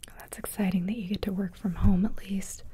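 Female ASMR hair stylist: close, breathy whisper, mellow tone, reassuring small talk, slight background noise. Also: medium pitch, steady tone, a little fast. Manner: gentle, reassuring, chatty with the client. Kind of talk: whispered ASMR salon roleplay. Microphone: close ASMR microphone. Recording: faint noise, small mic bumps and clicks.

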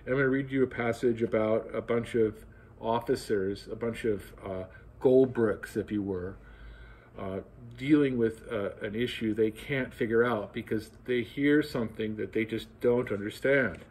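Man in his 60s, husky, mid-pitched; relaxed guest reader with fillers, recorded on a desk camera in a library. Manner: halting, with fillers in his own talk. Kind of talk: reading a novel aloud. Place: library, in front of bookshelves.

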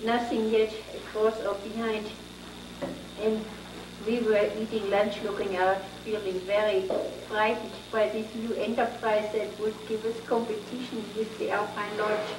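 A woman on a panel, a former lodge owner, telling a story in a measured way. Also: medium pitch, flat delivery. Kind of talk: casual storytelling. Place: indoor panel room. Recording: echoey room from far off with background noise.

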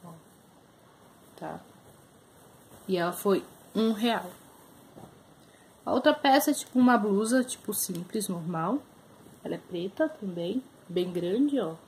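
A woman's voice: medium pitch, animated, slow pace, long pauses, a little mellow, a little hiss or hum, poor audio. A young Brazilian woman with a Brazilian Portuguese accent, chatting casually to camera in a small room as she shows her thrift finds. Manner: chatty, shows items up close, many fillers. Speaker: vlogger. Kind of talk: casual haul video chat.

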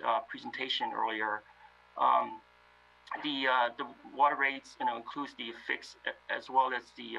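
Man, finance director type, gravelly and bright, measured report delivery over muffled call audio. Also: medium pitch. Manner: measured, many fillers. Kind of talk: presenting a staff report. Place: remote video meeting.

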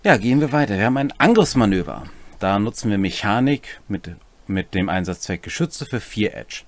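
A man's voice: on the low side, animated, fairly quick, no echo. A man, co-hosting and explaining game rules with casual, chatty commentary. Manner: chatty, jokey asides. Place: small home room, indoors.

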